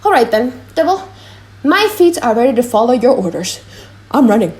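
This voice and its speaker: A woman voicing a chatty male clown servant in a comic audiobook monologue, animated, rambling and correcting himself. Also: a little high, moderate pace. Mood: comic, scheming.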